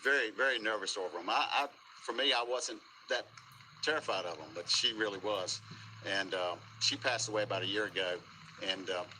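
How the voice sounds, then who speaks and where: Low-voiced, halting American local man. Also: pushed and bright, rough recording. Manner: halting, hesitant, repeats words. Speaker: local resident and business owner being interviewed. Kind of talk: casual eyewitness interview. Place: outdoors at a storm damage site. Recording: outdoor interview with faint noise.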